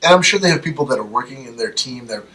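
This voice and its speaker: A man's voice: mid-range voice, animated, fast talker, pushed and bright. A man co-hosting a casual podcast, ranting in an emphatic, profane way. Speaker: podcast co-host. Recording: distant mic, faint background noise.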